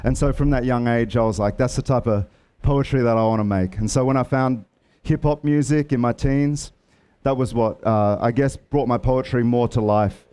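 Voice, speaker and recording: A man's voice: mid-range voice, moderate pace, mellow, smooth. A man telling stories from his life as an author on a festival panel, reflective and with frequent fillers. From Australia. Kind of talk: relaxed storytelling.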